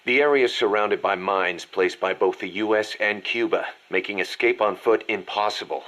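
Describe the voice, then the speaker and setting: Gruff, low-voiced military second-in-command. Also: male voice, moderate pace, sharp tone. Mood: stern. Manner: serious, emphatic. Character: gruff, urgent military second-in-command briefing his boss. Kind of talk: dramatic game audio acting.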